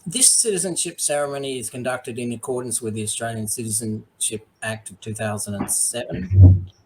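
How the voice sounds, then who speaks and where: A man serving as mayor, hosting a formal online citizenship ceremony in a measured, polite way with frequent ums, speaking over a video call. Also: mid-range voice, slight background noise, low-quality recording.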